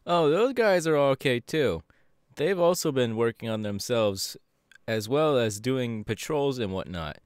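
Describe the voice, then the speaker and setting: A man's voice: mid-range voice, unhurried, clear, resonant tone, no room sound, studio quality, lightly acted. A man playing an upbeat, easygoing young electric superhero in a casual, chatty audio roleplay. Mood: friendly, chatty. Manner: casual, animated, hesitant with fillers. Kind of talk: audio roleplay, chatty anime character acting.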